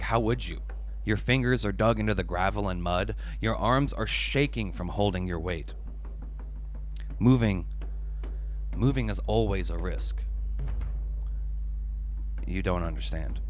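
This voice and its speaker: A man narrating a dramatic spoken-word piece in an intense, deliberate, emotive way with weighted pauses. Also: lowish voice, slight background noise. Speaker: narrator, essayist. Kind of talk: dramatic spoken-word storytelling in second person.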